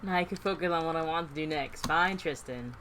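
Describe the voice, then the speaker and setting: Low-pitched woman reading game narration. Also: fairly quick, a crowd in the background. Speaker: let's play host. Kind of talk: reading game narration aloud, casual commentary. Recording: faint background noise.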